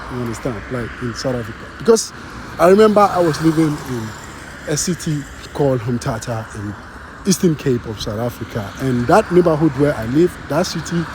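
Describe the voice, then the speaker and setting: A man's voice: medium pitch, background noise. A man from Ghana talking casually to his channel's viewers, full of fillers, often checking with "you understand?". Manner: animated, repeats "you understand", many fillers. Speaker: vlogger. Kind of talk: casual talk to camera.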